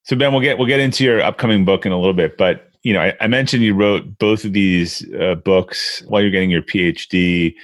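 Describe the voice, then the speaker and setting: A man hosting a conversational interview, somewhat halting as he rephrases his thoughts while he goes. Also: low-pitched. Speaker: podcast interviewer, host.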